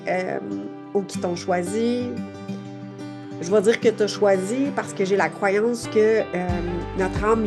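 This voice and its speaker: Woman, late forties, mid-pitched, earnest reflective podcast host, soft music underneath. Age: late 40s. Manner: earnest, searching, heavy on fillers and rhetorical questions. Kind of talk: reflective personal monologue.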